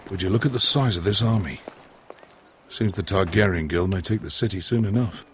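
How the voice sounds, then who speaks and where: An actor playing a watchful, practical, doubtful travelling companion in a video game. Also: male voice, sits low, fairly quick, natural voice. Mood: observant. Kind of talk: dramatic video game voice acting. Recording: faint background ambience noise.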